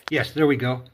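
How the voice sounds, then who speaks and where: A man's voice: mid-range voice, a bit bright, breathy edge. A man casually narrating a hands-on DIY repair, talking himself through each step in a folksy, playful way. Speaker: host of a DIY repair video.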